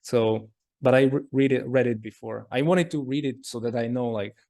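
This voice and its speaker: A man's voice: mid-range voice, moderate pace, warm tone. Chatty man rambling with lots of "like". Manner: rambling, heavy use of "like". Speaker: interview guest. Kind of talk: casual conversation.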